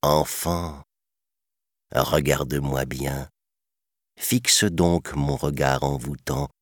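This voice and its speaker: A sly storybook python , acted out by a man, very low in pitch and coaxing. The snake draws out words slowly, hypnotic and quietly menacing, in a dry, close sound. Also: no echo, exaggerated voice. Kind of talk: children's storybook reading.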